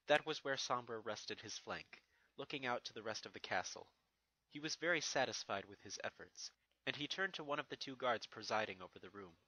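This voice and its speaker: A man's voice: on the low side, sharp tone, no room sound, rough recording. A man giving a dramatic scripted narration of a fantasy fan fiction story.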